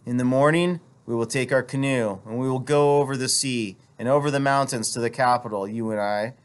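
A man's voice: medium pitch, fairly even intonation, fairly quick, smooth, natural voice. A man voicing a proud, stubborn husband in a storybook, fierce, determined and commanding. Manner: forceful, commanding. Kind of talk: storybook character reading.

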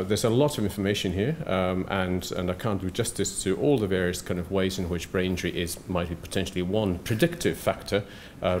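A middle-aged soft-spoken man giving a conference lecture at a podium, hesitant with many fillers and restarts and dry asides. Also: sits low, a little hiss or hum. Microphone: podium microphone.

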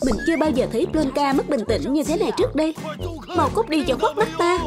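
A plump cartoon cat with a gentle nature and a hidden temper, speaking in a high voice, as a woman voices it. It soothes its friends in one moment and snarls at intruders in the next. Loud music plays under the dubbed voice. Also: big pitch swings, fast. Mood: furious. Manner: soothing, then snarling when angry. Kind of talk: Vietnamese cartoon dub. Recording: dub with loud music behind.

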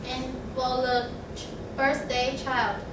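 A young woman playing a mother frog who gently corrects her child, reading slowly from a script. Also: high voice, flat delivery. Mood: gentle. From Taiwan. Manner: slow, careful reading from a script. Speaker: student reader. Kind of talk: readers' theatre reading. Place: large classroom. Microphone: far camera. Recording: echoey classroom with loud background noise, far off.

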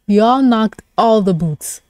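A woman's voice: fairly high pitch, animated, very slow, warm tone, no echo, clean studio sound. A woman streamer giving a casual live reaction to a webcomic, gushing and flustered, swearing freely. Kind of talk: live reaction with casual commentary and reading aloud.

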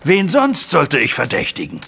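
Creaky-voiced, stubborn banker. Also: male voice, a little high, huge pitch swings, normal speed, pushed and bright, exaggerated voice. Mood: stern, unyielding. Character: stern, distressed wealthy banker, father figure, stubborn. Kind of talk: audio drama acting.

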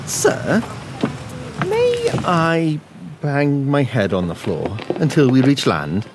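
A man's voice: medium pitch, huge pitch swings, slow pace, over music, exaggerated voice. An actor playing an earnest, oddball pirate crewman who is slavishly keen, in a comic audio drama. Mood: oddly earnest. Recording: noisy ambience behind the voice.

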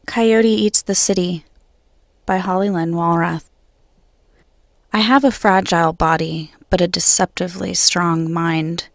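Woman, guest poet, poetry reading, lowish pitch, gravelly creak, measured and solemn. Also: no echo.

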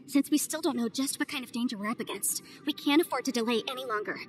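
A woman voicing a young, analytical adviser in a game, who explains things in a measured, methodical way. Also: a little high, over music, close to an everyday voice. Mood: thoughtful. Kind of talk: game cutscene voice acting.

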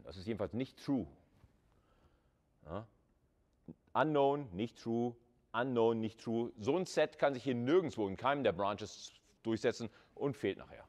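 A man lecturing at a university in an informal, conversational way, thinking aloud and putting questions to students. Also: medium pitch.

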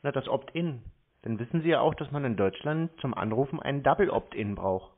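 A man's voice: mid-range voice, animated, a little fast. Man, casual phone chat, laconic, dry, needling, muffled narrow-band sound. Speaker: scam-baiter taking calls.

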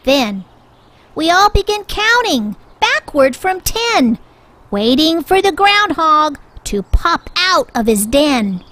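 A woman reading a children's story aloud in an expressive, rhyming sing-song way, with deliberate delivery. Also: high voice. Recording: music bed under the voice.

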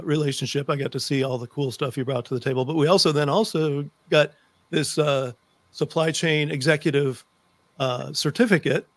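A man's voice: on the high side, dry recording. A middle-aged man hosting an interview in casual, chatty style, speaking close to a desk microphone. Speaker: podcast host. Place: indoor home office with bookshelves. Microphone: desk microphone, close, on a video call.

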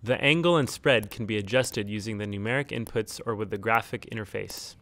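A man reading a scripted instructional tutorial in a measured, neutral, step-by-step way. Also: on the low side, a bit bright. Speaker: tutorial narrator. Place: close, dry studio-style voice-over recording.

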